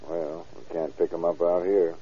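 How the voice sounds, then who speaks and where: An actor playing a blunt, brisk male detective sidekick with slangy talk, in an old radio crime drama. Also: sits low, flat delivery, warm tone, a little hiss or hum, natural voice. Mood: businesslike. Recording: muffled vintage radio show recording.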